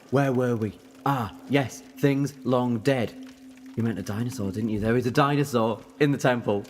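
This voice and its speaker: Audio drama: male actor as eccentric time traveller, whimsical, theatrical, lively mid-range delivery, slight background noise. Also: mid-range voice, measured pace. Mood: determined, quirky. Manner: rambling, playful, theatrical. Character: eccentric, whimsical, defiant time-travelling alien Doctor who looks young.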